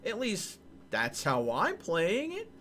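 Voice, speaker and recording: A man commenting on his gameplay for a live audience, joking and replying to chat. His voice sits high and swings widely in pitch. A faint hiss of noise lies under his desk mic. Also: slow pace. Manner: animated, joking, talks to chat. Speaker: streamer.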